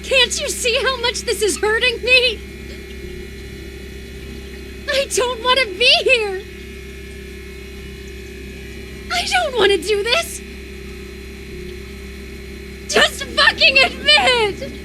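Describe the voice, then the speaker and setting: A woman's voice: very high-pitched, animated, takes it slowly, pauses often, background noise, heavily acted. A woman voice-acting an unhinged, obsessive lover in a horror visual novel, intense and volatile, swinging between tenderness and menacing fury. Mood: anguished pleading. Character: unhinged, obsessive lover convinced an impostor replaced their beloved.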